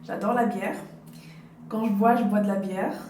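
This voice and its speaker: Woman, late twenties, chatty beauty vlogger, warm dark tone, casual advice to viewers, echoey room. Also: mid-range voice, steady tone, normal speed. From Dominican Republic. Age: late 20s. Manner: chatty, personal, addresses viewers directly. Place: indoors, hotel. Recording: roomy, distant mic, faint background noise.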